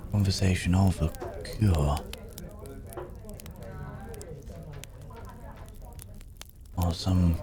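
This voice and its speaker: A weary, philosophical man cursed as a werewolf, played by a male actor speaks in a very low voice. He is slow and hesitant, choosing formal, old-fashioned words with gentle courtesy. The style is intimate ASMR roleplay, with a clear murmur of people behind him. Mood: musing. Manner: musing, hesitant, old-fashioned formal speech with long pauses. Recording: background crowd murmur.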